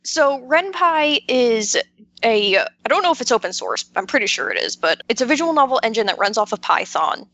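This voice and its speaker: A woman's voice: a little high, a bit bright, no room sound. A woman chatting casually as a podcast panelist, explaining things informally and often interrupting herself. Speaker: podcast roundtable panelist, visual novel maker. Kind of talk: casual chat.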